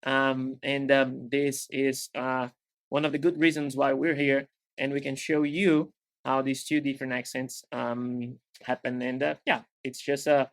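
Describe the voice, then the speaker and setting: A young Brazilian man with an American-leaning accent, co-hosting a relaxed English-learning podcast with frequent fillers. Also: medium pitch, unhurried, no echo. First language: Portuguese. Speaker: podcast co-host. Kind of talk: casual podcast chat in English.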